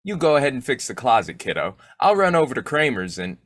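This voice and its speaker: A man playing a blustery, boyish, quick-tempered bumbling husband in old-time radio comedy, with a folksy, slangy, argumentative way of talking. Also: mid-range voice, big pitch swings, fairly bright tone, dry recording. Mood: joking.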